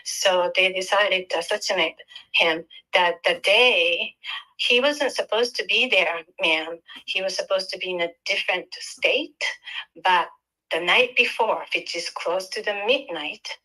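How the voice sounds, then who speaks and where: Caller: woman, japanese accent, bright tense voice, lowish pitch, hesitant delivery. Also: low-quality recording. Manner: halting, with many ums and restarts. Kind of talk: call-in on a live podcast.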